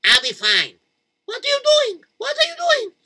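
A man's voice: sits high, expressive, normal speed, vocal fry, sharp tone, exaggerated voice. A man doing Zalmi, a plucky boy. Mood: bold. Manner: eager, confident. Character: brave, cheerful young boy.

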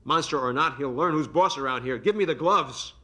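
A man plays a domineering, clock-hearted husband who rants and bullies in a staged play reading. His voice is bright and forceful, and his manner is bossy and blustering. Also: fairly high pitch, a little fast. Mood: threatening.

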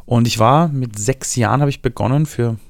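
A man from Iran with a Viennese accent, telling his story in a relaxed interview with lively, animated delivery. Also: mid-range voice, normal speed, vocal fry, dry recording, clean studio sound. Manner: lively storytelling, shifts voice for quotes.

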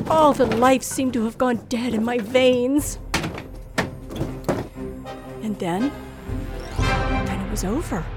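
Frightened young heroine of a vintage radio thriller: high, slow, breathless, dramatic. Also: female voice. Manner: breathless, dramatic, deliberate phrasing. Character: Linda, terrified young woman recounting a night of terror. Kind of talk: old-time radio thriller acting and narration. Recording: vintage radio recording with music behind.

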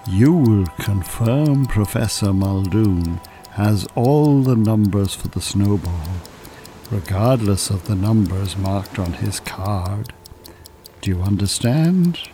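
An actor playing a smooth, calculating Irish con-artist hypnotist in an audio drama, soothing and commanding in a deliberate way. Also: male voice, on the low side, lively intonation, mellow, faint music behind.

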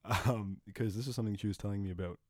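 Laid-back young man, low voice. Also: fairly even intonation, racing, no echo, studio quality. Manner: laughs often, loose and joking, says "eh". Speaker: podcast host. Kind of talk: casual interview chat.

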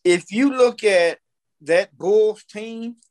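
High-voiced American man in his fifties. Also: takes it slowly, darkish tone. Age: 50s. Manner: blunt, opinionated, emphatic repetition. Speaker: podcast guest. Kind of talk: casual storytelling conversation.

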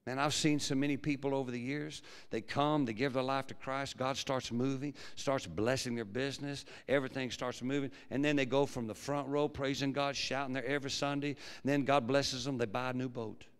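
A pastor preaching a sermon with a big, projecting voice that swings from quiet low musing to loud fervent preaching. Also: male voice, steady tone, brisk, hardly any pauses, clear, resonant tone. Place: large church hall. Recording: long hall reverb.

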